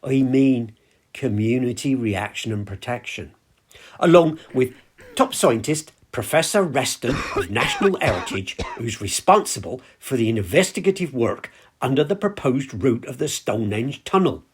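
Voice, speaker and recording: Man acting a fussy, husky, animated village councillor. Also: mid-range voice, normal speed. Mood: officious. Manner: officious, exasperated, declamatory. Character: fussy, flustered village councillor chairing a meeting. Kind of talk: amateur audio play acting.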